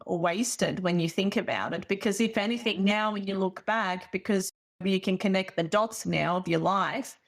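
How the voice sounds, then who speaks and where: Casting: woman host and life coach, mid-pitched, supportive and reflective. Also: moderate pace. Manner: encouraging, reflective. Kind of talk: casual interview chat.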